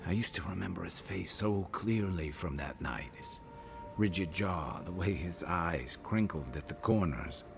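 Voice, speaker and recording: An actor playing a weary, loyal, regretful companion in a video game, speaking in an earnest, deliberate, reflective way. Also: male voice, sits low, gravelly, music in the background. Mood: troubled, wistful. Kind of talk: video game dramatic dialogue.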